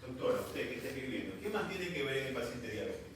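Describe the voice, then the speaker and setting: A man's voice: mid-range voice, very animated, quick pace, background noise. A man giving a medical lecture to students, stern and scolding at times. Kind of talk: classroom lecture. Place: classroom. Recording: echoey classroom from far off.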